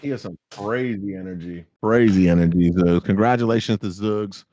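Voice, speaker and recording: A man, hosting a live show in loud, hyped, slangy casual chat that keeps repeating itself. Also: lowish voice, normal speed, a little hiss or hum. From South Florida. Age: 30s. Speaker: host of a trading live show.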